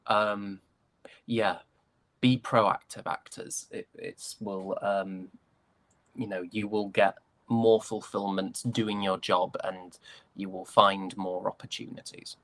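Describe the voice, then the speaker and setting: British male, low register, very slow delivery, self-deprecating actor in casual interview chat. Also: little pitch movement, fairly bright tone, no room sound. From United Kingdom. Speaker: guest, stage and voice actor.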